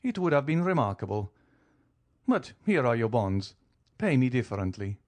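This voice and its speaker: A man's voice: medium pitch, sing-song, normal speed, a little mellow, natural voice. A man voicing a suave, wealthy count who speaks in a polished, teasing, formal way. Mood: cool, composed. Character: suave wealthy male count, coolly ironic.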